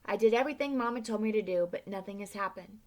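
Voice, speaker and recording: A woman's voice: medium pitch, quick pace, lightly acted. A woman voicing a young boy in a children's story who is sincere and let down. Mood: disappointed. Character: young boy basketball player, hopeful.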